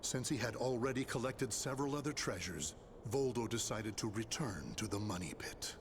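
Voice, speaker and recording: A man giving solemn, measured scripted narration for a video game story. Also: medium pitch, animated, background noise. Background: loud music.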